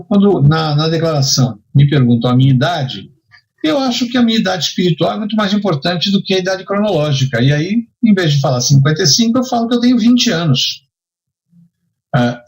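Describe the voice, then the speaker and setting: A Brazilian man teaches in a conversational, didactic way. His voice sits a bit high for a man and swings widely in pitch. He walks through examples with rhetorical questions and often checks that the listener follows. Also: poor audio. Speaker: insurance and risk management instructor. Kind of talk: conversational lecture with hypothetical examples.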